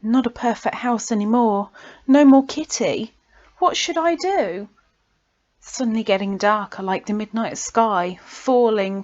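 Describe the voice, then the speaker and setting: Woman, poetry recitation, unhurried pace, expressive breathy delivery, medium pitch, muffled lo-fi home recording. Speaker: poet reading own poems.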